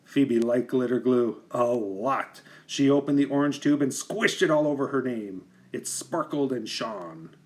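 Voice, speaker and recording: A man reading a children's picture book aloud like a teacher, with expressive, deliberate emphasis for young listeners. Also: mid-range voice. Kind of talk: expressive children's storybook narration.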